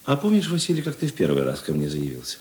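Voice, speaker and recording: An actor playing a seasoned police investigator in a radio play, calm, unhurried and gently ironic. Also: male voice, rumbling low, full character voice. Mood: fatherly. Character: seasoned male police investigator chief, calm, wry, fatherly. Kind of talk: Russian radio drama acting. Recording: echoey room.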